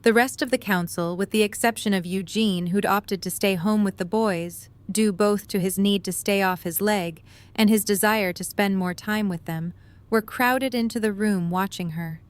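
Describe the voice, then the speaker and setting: A woman's voice: medium pitch, moderate pace, clean tone. A woman reading scripted story narration for an audio drama.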